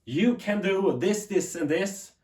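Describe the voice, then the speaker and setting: A man's voice: high voice, takes it slowly, darkish tone. Swedish-accented man, earnest unscripted rambling. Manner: rambling, earnest, self-correcting. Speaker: tech YouTuber. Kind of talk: unscripted talk to camera. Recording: echoey room.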